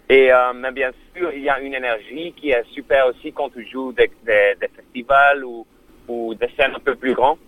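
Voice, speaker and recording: Man speaking halting, French. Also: medium pitch, fairly bright tone, no echo, poor audio. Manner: halting French with many fillers and restarts; non-native French. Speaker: musician guest. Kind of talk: radio interview.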